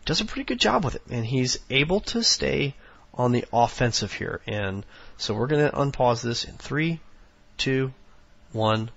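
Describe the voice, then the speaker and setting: Raspy stuffed-up guy doing game commentary, lots of ums, kinda muffled lo-fi audio. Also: medium pitch, lively intonation, moderate pace, a bit bright, dry recording. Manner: rambling, many fillers, instructional. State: hoarse from allergies, sniffly. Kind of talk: casual strategy commentary.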